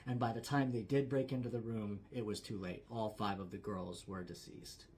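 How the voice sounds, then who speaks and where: A man's voice: fairly low pitch, steady tone, moderate pace, darkish tone. A man narrating a true story like a campfire storyteller, in a dramatic yet conversational way with emphatic phrasing. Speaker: storyteller, host.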